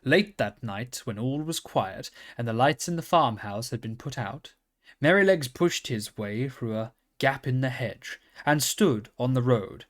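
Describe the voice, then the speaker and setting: A man reading a children's storybook aloud in a calm, steady manner. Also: mid-range voice, a little slow. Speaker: reader.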